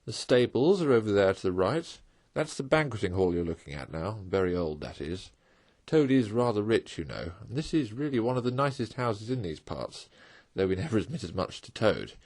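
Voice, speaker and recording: A man voicing a cheerful, excitable male water rat in a storybook reading. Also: on the low side. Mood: chatty. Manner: brisk, chatty, indignant at times.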